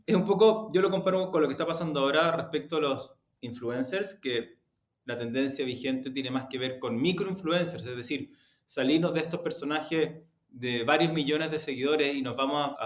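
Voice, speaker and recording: A man with a Chilean accent answering interview questions in a measured, deliberate, halting way. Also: medium pitch. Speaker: interview guest, audio production executive. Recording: roomy, echoey sound.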